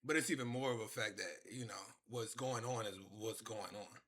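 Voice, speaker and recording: A man's voice: on the low side, normal speed, fairly bright tone. A man in his twenties chatting casually on a live stream, rambling and repeating himself as he talks to his chat from his room. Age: 20s.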